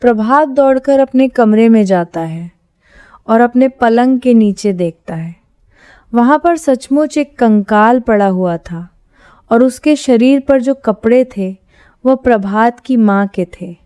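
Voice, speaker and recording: A woman's voice: a little high, animated, slow pace, mellow, no room sound. A woman giving scripted narration of a horror story.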